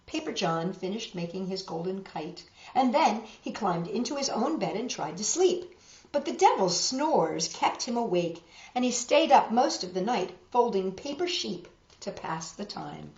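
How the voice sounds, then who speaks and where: A woman reading a picture book aloud to children, expressive and dramatic, pausing for asides to show the pictures. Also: medium pitch, gravelly. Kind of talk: animated children's story reading with asides to viewers. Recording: roomy, dull lo-fi web video.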